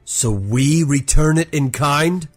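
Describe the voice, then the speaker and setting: Guy acting defiant bitter swordsman, raspy-ish husky voice, dry close sound, soft music under it. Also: a little high, slow, no echo. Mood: challenging. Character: wounded-proud, defiant swordsman confronting his ruthless father. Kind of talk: audio fiction character acting.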